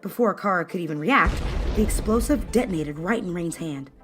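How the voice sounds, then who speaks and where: A woman narrates a scripted story in a dramatic style. The voice is a little on the low side. Music runs quietly underneath the reading. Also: expressive, normal speed. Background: background music.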